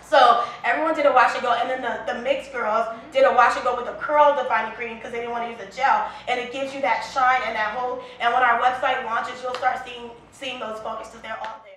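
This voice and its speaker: Brief: animated brand-founder woman from Liberia, fairly high, recorded from across a reverberant room. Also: a little fast, hardly any pauses, far from the mic, slight background noise. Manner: chatty, animated, with restarts and quoted asides. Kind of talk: casual interview chat.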